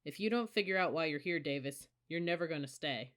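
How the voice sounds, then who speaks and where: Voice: mid-range voice, lively intonation, brisk, fairly bright tone, lightly acted. An audiobook narrator voicing a bullying, sarcastic young male cadet squad leader who snaps out mocking commands. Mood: annoyed, stern. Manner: snarling, smirking, clipped commands.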